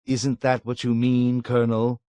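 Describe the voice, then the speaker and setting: Brief: man playing a cool, mocking colonel, slow ironic drawl. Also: mid-range voice, monotone, dark tone, clean tone, no echo, lightly acted. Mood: sly irony. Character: sly, acid, unruffled male colonel.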